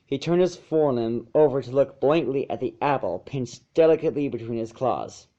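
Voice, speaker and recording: A man with a medium-pitched voice narrates a story as if from a storybook. He occasionally trips over a phrase, and the home recording is a bit dull and lo-fi. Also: expressive, moderate pace, warm tone. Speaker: narrator of fan fiction. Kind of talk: storybook narration read aloud.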